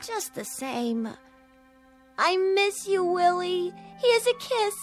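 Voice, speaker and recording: A woman's voice: extremely high pitch, sing-song, slow, faint music behind, full character voice. A woman playing a playful, flirty, chatty nightclub showgirl in a radio play. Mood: teasing.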